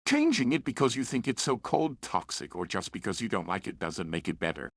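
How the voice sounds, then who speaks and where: A man's voice: medium pitch, gravelly, a bit bright, no room sound, studio quality. A man delivering a scripted review with opinionated, emphatic delivery. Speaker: story reviewer.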